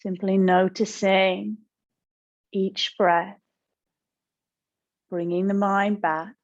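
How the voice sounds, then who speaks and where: A woman leads a guided meditation in a calm, gentle manner. Her mid-range voice moves without hurry, pausing between short instructions. The recording is a little dull and lacks crispness. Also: medium pitch. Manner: gentle, calm, unhurried with pauses. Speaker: yoga and meditation teacher.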